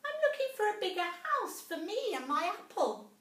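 A woman voicing a tiny, polite and eager female mouse with a squeaky voice in a storybook. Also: very animated, moderate pace, fairly bright tone, full character voice. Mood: eager, hopeful. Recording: roomy classroom, distant mic.

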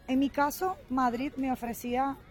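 A woman's voice: sits high, fairly even intonation, soft background music. A young Venezuelan woman with a Venezuelan Spanish accent, answering interview questions thoughtfully while standing in a city park with a handheld mic. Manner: thoughtful, measured, earnest. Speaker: guest. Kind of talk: street interview, relaxed answers.

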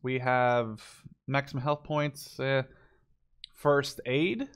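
A man's voice: medium pitch, slow, smooth. A man giving casual, unscripted commentary on a game as he plays, informally thinking aloud. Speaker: gameplay video host.

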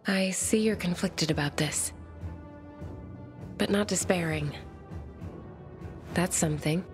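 An actress playing a bookish, flirtatious woman survivor in a video game, speaking in articulate, measured phrases. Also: lowish voice, over music. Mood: thoughtful, curious. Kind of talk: video game cutscene acting.

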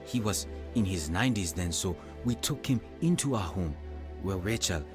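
An actor playing an earnest middle-aged evangelist and devoted son in a radio drama, with measured delivery. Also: male voice, sits low, lively intonation, music in the background. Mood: reflective. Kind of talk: radio drama narration and dialogue.

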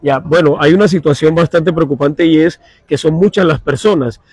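A middle-aged man reporting live for radio from a crowded outdoor dock, speaking in a formal, measured way into a handheld mic. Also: a little high, a little mellow, breathy edge, no echo. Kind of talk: live field report and street interviews. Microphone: handheld mic held close.

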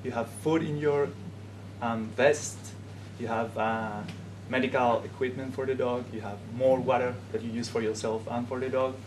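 A young man from Peru with a Peruvian Spanish accent, talking to a class in a halting way with frequent pauses. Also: medium pitch. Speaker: guest speaker. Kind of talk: informal talk to a class. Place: classroom, indoors. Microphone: camera in the room. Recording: classroom recording with steady noise.

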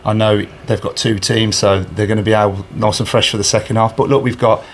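Earnest low-voiced man, halting answers. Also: level tone, a little hiss or hum. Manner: earnest, halting, many fillers and restarts. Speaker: charity match organiser. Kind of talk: casual interview answers. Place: indoors in front of a sponsor board. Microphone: handheld recorder held by interviewer.

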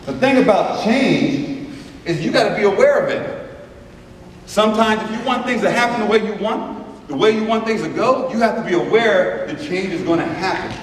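A man's voice: sits high, normal speed, slight background noise. A man giving a motivational talk on stage, emphatic and repeating key words, recorded from the audience. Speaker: motivational speaker. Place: large auditorium. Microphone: far camera in audience. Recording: echoey hall recorded from far off.

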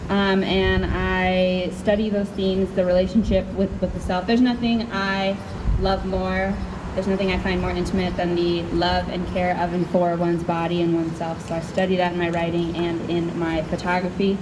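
A woman's voice: fairly low pitch, little pitch movement, normal speed. A young woman from Chicago with an American accent, a poet at a live spoken word reading, candid and informal as she projects into a stand microphone. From Chicago, USA. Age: young adult. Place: outdoors under a canopy tent. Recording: clear background noise, outdoor event ambience.

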